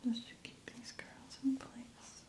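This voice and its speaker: A woman speaking in a soft, breathy half-whisper, gently explaining each step in an ASMR stylist roleplay. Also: fairly high pitch, little pitch movement, slow. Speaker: ASMR creator playing a hair stylist.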